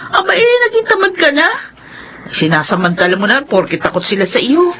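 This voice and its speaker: A woman's voice: a little high, huge pitch swings, a little fast, a bit bright, a little hiss or hum. A woman playing a worried, scolding hardworking wife and mother in a Filipino television drama, with a Filipino accent. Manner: nagging, pleading.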